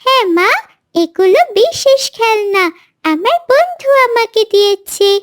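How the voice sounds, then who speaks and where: A woman's voice: very high-pitched, very animated, unhurried, heavily acted. A woman voicing an innocent, lonely little girl bird in a children's cartoon, with a plaintive, childlike way of talking. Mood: excited.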